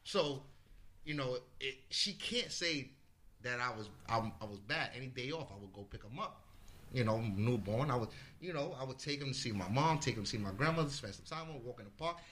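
A man from the Bronx telling a story as a podcast guest, animated, swearing freely and acting out what people said. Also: medium pitch, a little fast. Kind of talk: casual storytelling.

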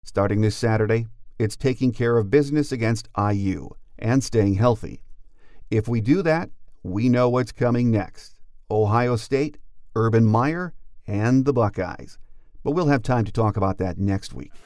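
A man's voice: lowish voice, measured pace, a little mellow, dry recording, studio quality. An American man hosting a sports podcast, moving between scripted introductions and interview questions. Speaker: podcast host.

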